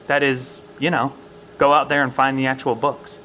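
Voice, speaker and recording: A man's voice: medium pitch, level tone, moderate pace, sharp tone, close to an everyday voice. Man's medium voice, acted thoughtful academic, careful reasoning, audio drama, slight noise. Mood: explaining calmly. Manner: explanatory, hesitant, reasoning. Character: measured, earnest male professor in a survival bunker.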